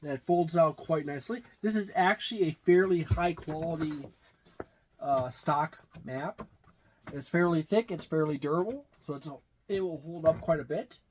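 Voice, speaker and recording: A middle-aged man giving a casual, halting, matter-of-fact unboxing and review, recorded in a small room. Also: a little high, darkish tone, slight background noise. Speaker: tabletop game reviewer. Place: small indoor room with packed shelves.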